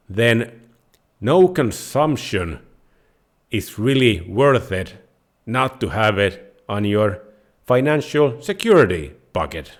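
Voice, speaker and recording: Slow-speaking man with a Finnish accent. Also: on the low side. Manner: halting, with pauses and restarts. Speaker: host of a personal finance channel. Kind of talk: unscripted explainer talking to viewers.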